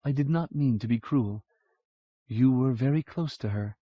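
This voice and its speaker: A man voicing a warm, teasing young mother from the grasslands, who speaks in a soft, low way. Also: moderate pace, dry recording, lightly acted. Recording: dull lo-fi audiobook recording.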